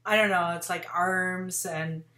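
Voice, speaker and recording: A woman's voice: lowish voice, fairly even intonation, a little slow, pushed and bright. A woman in her forties hosting an interview over a video call, chatty and enthusiastic, rambling with lots of fillers. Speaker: host. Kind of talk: casual interview chat. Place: home room indoors. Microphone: webcam on video call. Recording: roomy webcam sound.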